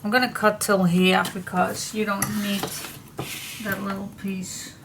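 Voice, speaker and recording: A woman with a slightly hoarse, froggy voice talks through a papercraft project at an unhurried, easy pace. She chats loosely and half to herself as she works. A steady hiss of noise sits clearly under the recording. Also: mid-range voice. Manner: chatty, slow and relaxed, talks to herself while she works. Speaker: papercraft tutorial host. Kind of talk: casual crafting tutorial talk.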